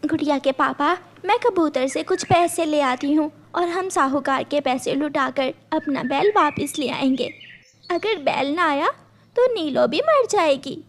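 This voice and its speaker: Woman playing a poor caring cartoon bird lady, high voice, unhurried, earnest. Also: lively intonation, slight background noise.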